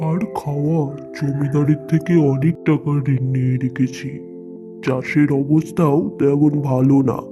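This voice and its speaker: A man voicing a poor, indebted middle-aged farmer in a cartoon, weary and humble, over loud background music. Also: on the high side, little pitch movement, unhurried, lightly acted. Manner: weary, pleading.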